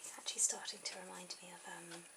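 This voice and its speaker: Woman, breathy ASMR whisper, low creaky voice, informal drawing tutor, hesitant pauses. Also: flat delivery. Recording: very noisy amateur camera audio.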